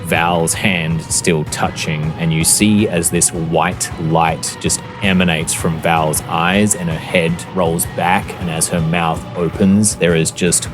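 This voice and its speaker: A man working as a game master, narrating a tabletop fantasy game in a measured, deliberate, descriptive storytelling manner. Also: deep voice, steady tone. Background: background music.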